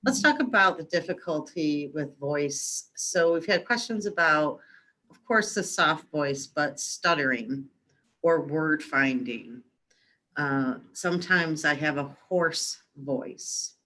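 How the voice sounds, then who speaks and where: A woman runs a webinar Q&A, gathering audience questions and rewording them for the presenter. Her voice sits low for a woman yet has a bright, forceful edge. She talks in quick bursts, rephrasing as she goes. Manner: brisk, rephrases and recaps questions. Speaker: webinar moderator. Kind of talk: moderating a Q&A webinar.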